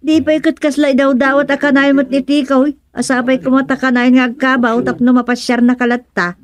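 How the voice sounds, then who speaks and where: A woman's voice: high voice, level tone, fairly quick, lightly acted. A woman playing an inquisitive village woman in a comic radio serial, conversational and questioning. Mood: questioning, concerned. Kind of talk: comedic radio serial acting.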